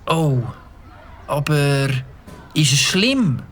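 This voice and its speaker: A man's voice: fairly high pitch, sing-song, extremely slow, a faint crowd, exaggerated voice. A man voicing an eager, naive young beaver in a cartoon, with a Swiss German accent and wide-eyed, sing-song questions. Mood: worried.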